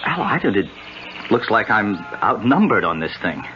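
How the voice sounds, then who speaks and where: A man's voice: lowish voice, big pitch swings, normal speed. A man playing a reluctant, grudging young man in a 1970s radio drama. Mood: resigned, grudging. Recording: noisy muffled vintage radio broadcast.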